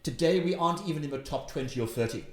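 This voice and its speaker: A man's voice: a little high, expressive, fast. Welsh man in his fifties, echoey room. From Wales. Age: 50s. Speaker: investment guest. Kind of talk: storytelling in an interview.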